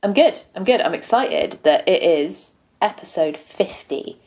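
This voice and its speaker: A young woman co-hosting a podcast in casual chat, soft-spoken but quick to giggle. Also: medium pitch, expressive. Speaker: podcast co-host.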